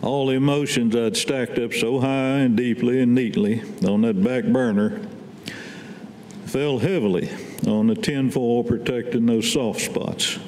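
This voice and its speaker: A man's voice: medium pitch, steady tone, a little slow, a little mellow. An older man reading his writing aloud at a podium in a staged poetry reading. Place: indoor meeting room at a podium. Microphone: podium gooseneck microphone. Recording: echoey hall, faint room noise.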